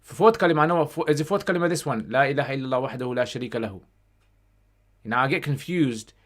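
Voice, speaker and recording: A man with a mid-pitched voice hosts an informal livestream question session. He reads out questions and answers them in a conversational way, dropping Arabic phrases into his casual talk. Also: quick pace.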